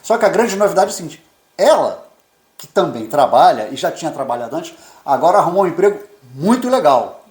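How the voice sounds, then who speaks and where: A man's voice: on the high side, very animated, a little fast, a little mellow. A Brazilian man in his fifties, co-hosting a casual chat and rambling with repeated words. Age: 50s. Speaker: co-host, immigrant journalist. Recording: echoey room.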